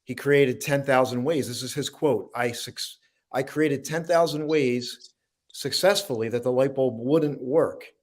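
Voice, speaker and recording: A man's voice: mid-range voice, unhurried. Guy co-hosting an uplifting talk show, regular mid-range voice.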